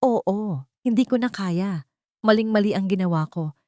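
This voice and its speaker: A woman's voice: mid-range voice, moderate pace, mellow, no echo, studio quality, pitched above the performer's own voice, lightly acted. A woman voicing a frightened, guilt-ridden younger sister, trembling and pleading, in an audiobook drama. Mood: remorseful, resolved. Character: frightened, remorseful younger sister secretly helping a captive.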